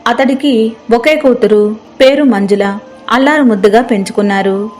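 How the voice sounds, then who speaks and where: Woman, higher voice, scripted folk tale narration. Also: normal speed, darkish tone. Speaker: story narrator.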